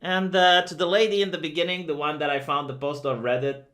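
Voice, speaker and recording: Guy ranting on his channel, bright sharp voice, lots of uhs and ums. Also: a little high, flat delivery, a little fast. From Romania. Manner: conversational and opinionated, many fillers. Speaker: video commentator. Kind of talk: opinionated commentary vlog.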